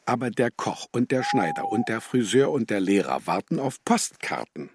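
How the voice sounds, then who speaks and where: An actor playing a hesitant, doubtful man in a children's radio play, speaking in a halting, questioning way. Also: mid-range voice, wildly swooping pitch, background noise. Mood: dissatisfied. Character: hesitant, doubtful man with a feather in his hat.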